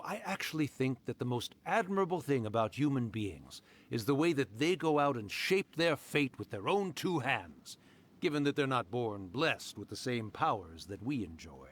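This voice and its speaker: A man playing a mischievous, boastful fox-spirit yokai in a video game, with a theatrical, teasing, drawn-out delivery. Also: mid-range voice, fairly bright tone. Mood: breezy self-justifying. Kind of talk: video game character acting.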